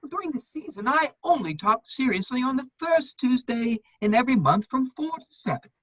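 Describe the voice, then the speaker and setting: A woman playing a witty, idle gentleman bachelor in his thirties, arch and drily polite, in a period comedy reading. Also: high-pitched, very animated, moderate pace, full character voice. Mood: flippant. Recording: dull, echoey, lo-fi room sound.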